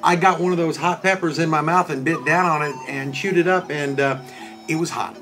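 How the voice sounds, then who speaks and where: A man's voice: a little high, fairly quick, a bit bright, soft background music. A middle-aged man, a restaurant critic hosting a casual review chat with a co-host. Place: indoors in front of a backdrop.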